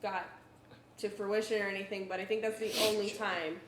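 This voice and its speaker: A woman's voice: medium pitch, steady tone, fairly quick. A woman co-hosting a casual live chat, playful and giggly. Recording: echoey room, faint noise.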